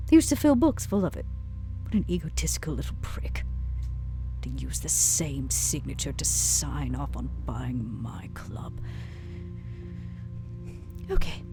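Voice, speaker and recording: A woman voicing a weary, sardonic female vampire club owner in a tabletop roleplay drama, wry and given to dramatic pauses. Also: extremely low pitch, lively intonation, music in the background, pitched below the performer's own voice. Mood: bitter, searching.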